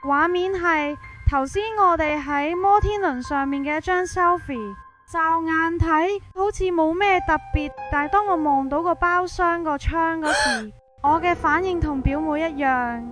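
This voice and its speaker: A woman's voice: very high-pitched, measured pace, a bit bright. A woman with a Hong Kong Cantonese accent narrating a scripted first-person ghost story. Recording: prominent music behind.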